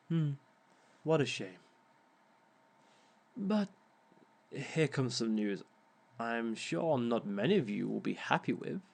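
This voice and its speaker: A man playing a smug, slow-talking villain. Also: mid-range voice, darkish tone, full character voice. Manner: wry, chuckles often at his own advice; mock-sympathetic teasing. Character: smug male villain lecturing on romance. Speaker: villain relationship expert. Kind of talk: audio roleplay monologue.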